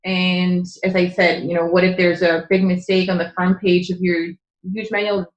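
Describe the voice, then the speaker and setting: A woman with a fairly low voice speaks as a podcast guest, earnestly explaining her point and filling pauses with "you know" and "um." Her first language is Czech. The audio sounds roomy and a little muffled. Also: little pitch movement. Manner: earnest, explanatory, with frequent fillers. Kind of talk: conversational interview.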